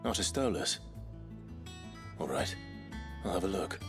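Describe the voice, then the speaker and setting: A man's voice: sits low, lively intonation, a little slow, over music. A man playing a brooding, weary warrior hero in a fantasy game, terse and understated. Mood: reflective, grateful. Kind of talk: cinematic fantasy game acting.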